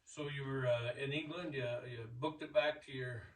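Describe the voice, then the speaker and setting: A man's voice: mid-range voice, fairly even intonation, moderate pace, far from the mic. An older man, interviewing someone in a casual, conversational way. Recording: echoey room, off the microphone.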